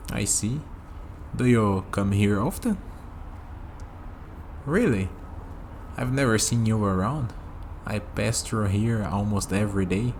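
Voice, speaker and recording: Low-voiced man acting a friendly night walker, noisy background. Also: a little mellow. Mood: casual, friendly. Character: friendly human man on a night walk, easygoing, musing. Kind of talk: ASMR roleplay spoken to the listener.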